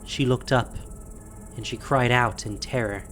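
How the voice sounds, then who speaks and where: A male narrator tells a fantasy story in a measured, dramatic way. His voice sits at a medium pitch, with faint music underneath. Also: steady tone, a bit bright.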